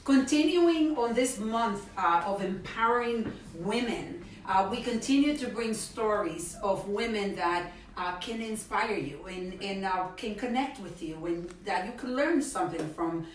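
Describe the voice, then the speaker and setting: A woman's voice: mid-range voice, expressive, a little slow, few pauses, slight background noise. A woman in her fifties co-hosting a casual talk show, upbeat and encouraging. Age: 50s. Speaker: co-host. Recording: echoey room, far from mic.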